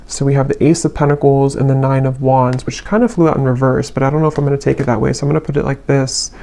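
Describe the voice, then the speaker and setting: Woman doing an off the cuff tarot reading, pretty monotone, thinks out loud n trails off. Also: very deep voice, quick pace. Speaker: tarot reader, channel host. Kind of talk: unscripted spiritual tarot reading.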